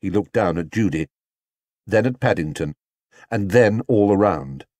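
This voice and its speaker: A man narrating a children's story as an audiobook reader, in an expressive scripted style. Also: lowish voice, unhurried, no echo, clean studio sound.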